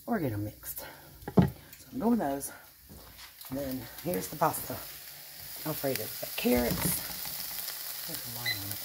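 A middle-aged woman with a twang and a low, gravelly voice that swings up and down a lot. She chats in a folksy way to her viewers while she works in a small home kitchen. The phone recording carries a clear hiss underneath. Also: big pitch swings, a little slow, long pauses. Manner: chatty, folksy, talks to viewers while cooking. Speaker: vlogger. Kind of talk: casual home vlog narration. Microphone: handheld phone camera.

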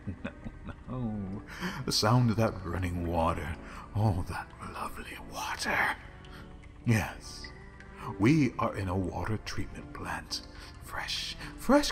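A man's voice: low-pitched, fairly bright tone, full character voice. Man playing a whispering plague villain. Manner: menacing, frequent whispers, sing-song repetition. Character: obsessive, contemptuous male plague-breeding villain. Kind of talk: villain roleplay audio drama. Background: faint music.

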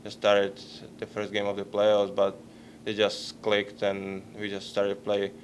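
A young man in his twenties with a Czech accent, answering postgame questions at a press table microphone, halting and searching for words. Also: on the low side, completely flat delivery, slight background noise. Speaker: hockey player at a postgame press conference. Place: press conference room.

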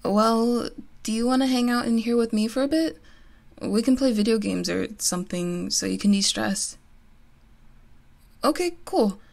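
A woman's voice: on the high side, moderate pace, creaky, pauses often, a little mellow. A woman playing a teasing, competitive gamer best friend in a casual, giggly ASMR roleplay. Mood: relaxed, friendly. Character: playful, competitive gamer girl, listener's close best friend. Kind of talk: ASMR roleplay, casual one-sided conversation.

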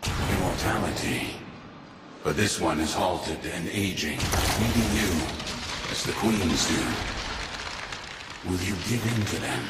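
Very deep, echoing, solemn warrior mentor. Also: male voice, lively intonation. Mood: cryptic. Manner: solemn, deliberate, foreboding. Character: stern old male warrior mentor, grave and cryptic. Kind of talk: scripted video game dialogue. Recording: echoey, distant, with noisy ambience.

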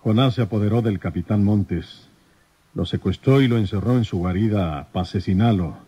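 An actor playing a plain-spoken, folksy rural detective in an old radio serial. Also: male voice, on the low side, warm tone, slightly rough, highs cut off. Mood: earnest. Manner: folksy, halting.

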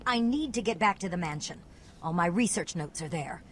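An actress playing a determined, indignant doctor in a video game, speaking articulately and insistently. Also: female voice, on the low side, lively intonation, a little fast, close to an everyday voice. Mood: anxious, insistent. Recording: reverberant hall, clear background noise.

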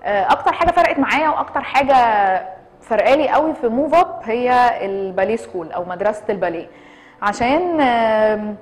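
An Egyptian woman speaks very quickly in a somewhat high voice. She explains herself to camera in an interview, stressing points through repetition. English terms are mixed into her speech. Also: animated. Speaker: dance and fitness studio co-founder, interviewee. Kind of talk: interview talk to camera, mixing in English words. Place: small room indoors.

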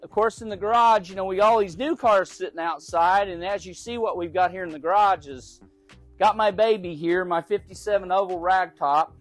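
A middle-aged man from Oklahoma giving a casual, folksy tour of the cars in his large garage, with an unhurried manner and frequent small restarts. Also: high-pitched, soft background music. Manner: folksy, unhurried, repeats words while thinking. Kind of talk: casual show-and-tell.

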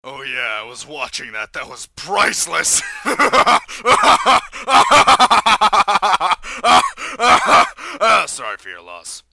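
Parody anime role: grumpy alien warrior acted by a man, deadpan, gravelly, swinging widely in pitch, hard-edged. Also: extremely slow, few pauses, a little hiss or hum, full character voice. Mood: sardonic. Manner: deadpan sarcasm breaking into irritated shouting. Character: grumpy, sarcastic adult male green alien warrior.

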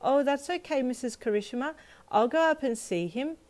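A woman's voice: high voice, very animated, a little slow, pitched above the performer's own voice. A woman voicing a small four-year-old girl in a storybook tale, with an earnest, emphatic, childlike delivery. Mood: bright, polite. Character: four-year-old girl, bright, loyal, easily frightened.

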